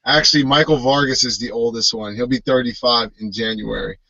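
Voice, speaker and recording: Upbeat man in his thirties, bright tone. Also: medium pitch, steady tone, fairly quick, creaky, no room sound, muffled recording. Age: 30s. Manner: friendly, upbeat host. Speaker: host. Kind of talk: casual chat on a live video call.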